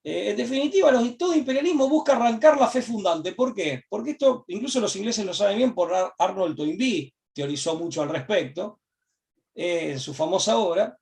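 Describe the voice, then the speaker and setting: A man with an Argentine accent, a guest in an interview, speaking at length in a discursive, reflective way, repeating his key phrases and pausing to find words. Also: high voice, gravelly, darkish tone, far from the mic. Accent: Argentine Spanish. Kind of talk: reflective political commentary.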